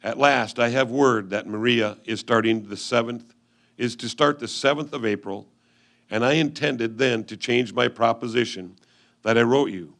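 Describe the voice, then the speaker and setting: A man reading aloud, in reader's theatre style, the part of an earnest 1850s frontier husband writing letters home in a measured, formal manner. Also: mid-range voice, creaky, fairly bright tone. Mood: earnest, businesslike.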